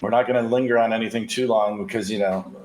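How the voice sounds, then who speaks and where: A man's voice: lowish voice, fairly even intonation, fast talker, darkish tone. A man co-hosting a casual, unscripted political discussion, with a wry way of slipping in quips. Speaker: co-host of a political discussion show. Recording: roomy, echoey sound.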